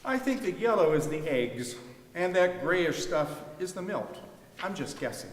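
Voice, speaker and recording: Man playing a plainspoken husband, wry fisherman, higher-pitched male voice, readers theater monologue, echoey hall. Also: sing-song, a little slow. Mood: matter-of-fact. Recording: echoey hall with long reverb.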